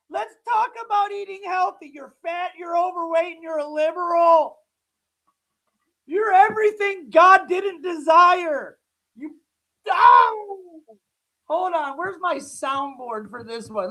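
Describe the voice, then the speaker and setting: A man's voice: extremely high pitch, slow pace, pushed and bright, poor audio. A man hosting a livestream, giving mocking live reaction commentary with a sore throat. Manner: mocking, laughing ranting. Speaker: livestream host.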